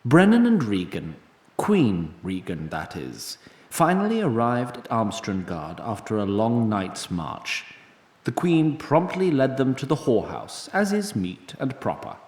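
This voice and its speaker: A wry fantasy storyteller, narrating in formal, literary phrases. His mid-pitched voice carries plenty of echo and a long reverberant tail. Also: sing-song, unhurried, a little mellow. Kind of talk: scripted narration.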